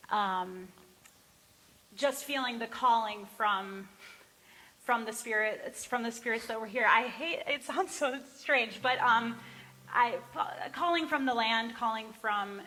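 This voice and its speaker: A woman's voice: fairly high pitch, bright, forceful tone. A woman talking casually and reflectively between songs at a live barn performance, searching for her words. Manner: halting, informal, searching for words. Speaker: singer-songwriter introducing songs. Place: barn, live before a small audience. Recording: live in reverberant barn, music behind.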